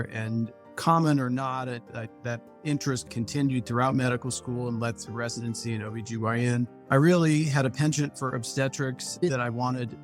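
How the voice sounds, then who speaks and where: A man speaking as a physician on a panel over a video call, talking in long, reflective sentences. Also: mid-range voice. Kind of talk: measured professional talk.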